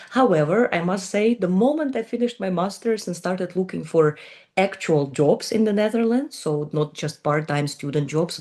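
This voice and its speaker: A woman's voice: low voice, darkish tone. Russian-speaking woman in her twenties. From Ukraine. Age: 20s. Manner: fluent non-native English with frequent ums and repeated words. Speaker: interview guest. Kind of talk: casual interview talk.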